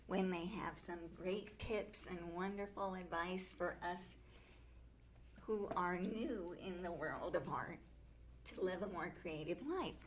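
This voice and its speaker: A woman's voice: fairly low pitch, a little hiss or hum. A woman hosting a radio talk show, upbeat and polished as she interviews a guest.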